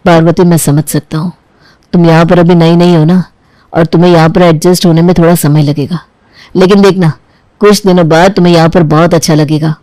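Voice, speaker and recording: A woman acts out a young husband in a moral tale, dropping the pitch well below her usual. He sounds caring, attentive and reassuring, in a dry recording. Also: steady tone, brisk, warm tone, dry recording, lightly acted, low-quality recording. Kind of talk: acted dialogue in a Hindi family storybook tale.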